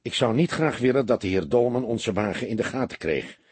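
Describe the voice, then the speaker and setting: Husky, wry older detective acted by a man. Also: mid-range voice, expressive, a little fast, a little mellow, dry recording. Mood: dry, instructive. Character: older heavyset male police detective, calm, wry, commanding. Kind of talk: audiobook character dialogue.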